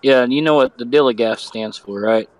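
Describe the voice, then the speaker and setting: A man's voice: mid-range voice, brisk, darkish tone, dry recording, poor audio. A man joining a friend's stream for casual chat, a little stuffy from a cold, laughing easily.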